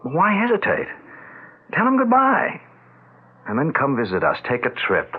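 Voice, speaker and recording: An actor playing a skeptical, protective adult son in a 1970s radio drama, questioning and blunt. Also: male voice, on the high side, huge pitch swings, moderate pace, breathy edge, heavily acted. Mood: indignant, urging. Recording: tinny, phone-like vintage radio broadcast.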